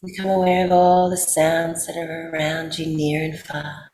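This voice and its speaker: A woman with an Australian accent guides a sleep meditation in a soothing, unhurried way, leaving long pauses. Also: sits low, completely flat delivery, darkish tone. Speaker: yoga nidra guide. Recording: roomy echo, faint background noise.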